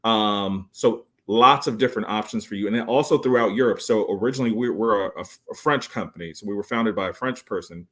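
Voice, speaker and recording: Low-voiced man pitching resorts. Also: fairly quick, hardly any pauses, a bit bright. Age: 40s. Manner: enthusiastic salesy pitch with many fillers. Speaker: resort sales guest. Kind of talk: podcast interview.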